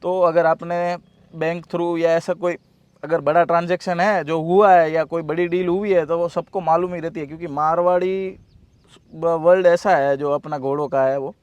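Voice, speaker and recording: A man's voice: fairly high pitch, steady tone, moderate pace, smooth, dry recording, lo-fi sound. A man. He gives advice earnestly in casual conversation, often repeating phrases to stress them. The recording is dry. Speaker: interview guest.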